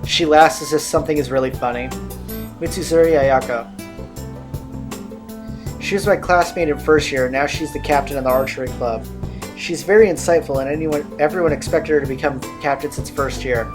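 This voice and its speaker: A man's voice: on the high side, steady tone, a little fast. A man hosting a let's play, reading game narration aloud with casual asides. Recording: game music behind the voice.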